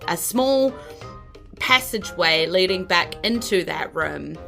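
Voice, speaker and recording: A woman's voice: lowish voice, vocal fry, pushed and bright. A woman improvising narration as a game master, casual and a little hesitant as she thinks aloud. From New Zealand.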